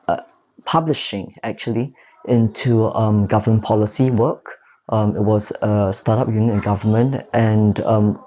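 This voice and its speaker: A man in his thirties with a Singaporean accent, a guest answering interview questions in a measured way, pausing often with soft fillers. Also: on the low side. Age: 30s. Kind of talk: reflective personal interview.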